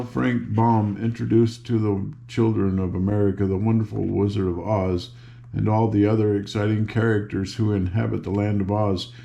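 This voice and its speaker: An older man reading a children's book aloud in an unhurried, informal, grandfatherly way, with a gravelly voice and casual asides. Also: fairly low pitch, mellow. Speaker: storybook reader and host.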